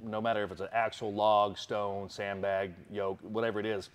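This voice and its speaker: Male athlete guest, young adult, fairly low voice, eager plainspoken answers. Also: little pitch movement, normal speed, clear, resonant tone. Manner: eager, plainspoken, listing things off. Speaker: strongman competitor, guest. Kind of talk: casual interview answers.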